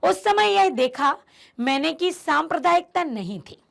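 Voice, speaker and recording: High-voiced woman teacher with vocal fry. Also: expressive, measured pace. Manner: emphatic, repeats key phrases, addresses the students directly. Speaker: Hindi teacher. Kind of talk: explaining a textbook chapter to students.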